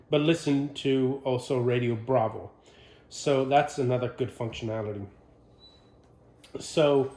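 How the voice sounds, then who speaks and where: A man giving an informal, unscripted equipment demonstration, explaining in a halting way and putting questions to the viewer. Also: mid-range voice, a little mellow. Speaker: presenter of a military radio equipment walkthrough. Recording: roomy, echoing sound.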